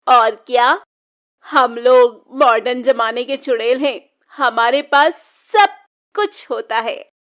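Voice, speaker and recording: A woman's voice: high-pitched, sing-song, extremely slow, pushed and bright, full character voice. A woman voicing a vengeful young witch in a children's cartoon story, with a mocking, cackling manner. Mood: gloating, taunting. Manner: taunting, cackling.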